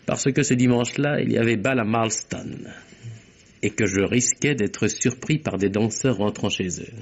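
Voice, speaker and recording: An actor playing an articulate young secretary in a vintage French radio mystery, telling his account with theatrical, deliberate precision. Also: fairly low pitch. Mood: confiding, assured. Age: young adult. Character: composed, articulate young secretary explaining himself under suspicion. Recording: dull, muffled old radio recording.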